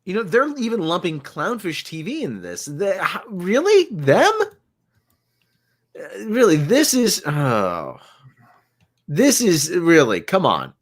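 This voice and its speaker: Exasperated, higher-voiced sputtering man. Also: wildly swooping pitch, slow. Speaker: panelist. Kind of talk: casual panel commentary.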